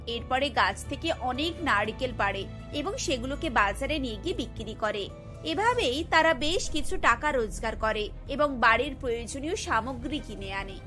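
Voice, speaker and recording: A woman's voice: high-pitched, pushed and bright. A woman storyteller giving scripted narration for a cartoon tale.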